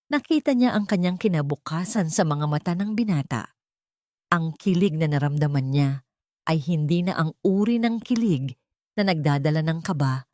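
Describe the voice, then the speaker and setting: A woman's voice: fairly low pitch, dark tone, no echo, studio quality. A woman with a Filipino accent narrating a dramatic story from a script.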